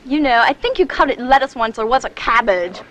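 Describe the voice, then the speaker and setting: High-voiced spoiled Valley Girl. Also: animated, fast, fairly bright tone. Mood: wheedling. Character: spoiled young Valley Girl daughter wheedling her daddy. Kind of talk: 1980s comedy film acting. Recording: noisy old film soundtrack.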